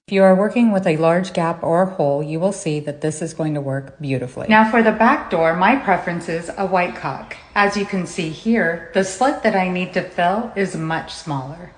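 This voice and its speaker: A woman's voice: on the low side, a little hiss or hum. A woman talking through a home-repair how-to in a straight-faced tutorial tone. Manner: deadpan, tutorial tone. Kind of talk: deadpan how-to video.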